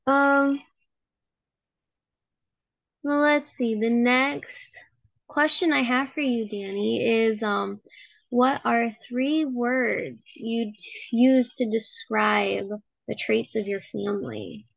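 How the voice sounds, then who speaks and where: Gravelly-voiced young woman interviewer. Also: on the high side, fairly even intonation, slow, dry recording. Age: young adult. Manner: friendly and encouraging, many "like" fillers. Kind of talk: casual interview, class project. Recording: slightly dull, lo-fi audio.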